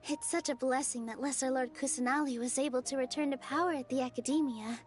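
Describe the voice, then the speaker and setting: A woman's voice: high voice, fairly even intonation, quick pace, close to an everyday voice. A woman playing a cheerful, encouraging young woman in a video game, sweet, upbeat and earnest. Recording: game music under the voice.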